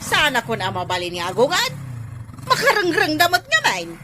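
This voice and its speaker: Actress as a chatty, stingy Ilocano neighbor woman in a radio play; higher-pitched, animated. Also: normal speed, a little hiss or hum, heavily acted. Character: gossipy, stingy neighbor woman, middle-aged. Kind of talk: radio drama acting.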